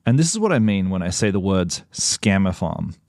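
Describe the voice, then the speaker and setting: A man with a fairly low voice narrates and interviews in a measured, earnest way. The sound is dry and close, with no room around it. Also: big pitch swings, gravelly, a little mellow, no echo. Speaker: podcast host.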